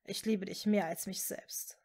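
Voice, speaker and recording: A woman voicing a man deeply in love, hushed and pleading, in a fantasy audiobook. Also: steady tone, a little slow, vocal fry, husky. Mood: adoring.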